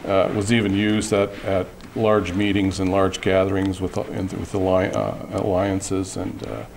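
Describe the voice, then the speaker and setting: A man with a very deep voice talks through his ideas slowly and thoughtfully, often pausing and restarting his sentences. A faint hiss sits under the recording. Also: monotone, vocal fry, darkish tone. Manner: reflective, halting, many restarts and fillers. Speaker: guest artist. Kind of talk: interview conversation.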